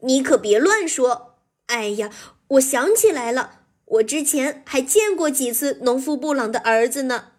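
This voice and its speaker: A woman's voice: sits high, sing-song, normal speed, few pauses, voice pushed up. A woman voicing a sly, sarcastic male skunk in a children's story, with a mocking manner. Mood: mock surprise. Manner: mocking, feigned surprise.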